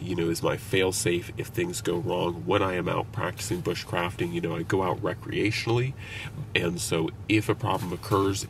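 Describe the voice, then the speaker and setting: Young guy w a deep gravelly voice, chatting about gear in his car, kinda far from the mic, noisy hiss. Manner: relaxed, conversational, with frequent fillers. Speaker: outdoor gear vlogger. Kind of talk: casual gear review. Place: inside a parked car. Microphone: camera on the dashboard. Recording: somewhat distant mic, noticeable background hiss.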